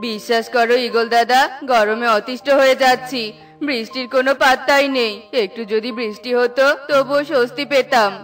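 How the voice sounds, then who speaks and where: Woman voicing a high-pitched blunt cartoon forest bird. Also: steady tone, moderate pace, soft background music, close to an everyday voice. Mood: complaining. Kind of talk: children's cartoon voice acting.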